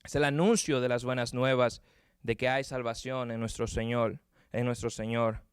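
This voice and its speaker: A man's voice: medium pitch, level tone, normal speed, clear, resonant tone, no room sound, clean studio sound. A man with a Puerto Rican Spanish accent preaching a sermon earnestly, often repeating words as he searches for them. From Puerto Rico. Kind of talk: sermon with closing prayer.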